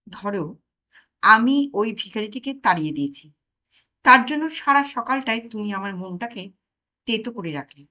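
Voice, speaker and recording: A woman's voice: on the high side, sharp tone, heavily acted. A woman playing an exasperated, sarcastic protagonist of a comic story, arguing in an indignant, wry way. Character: exasperated, sarcastic narrator confronted by own conscience.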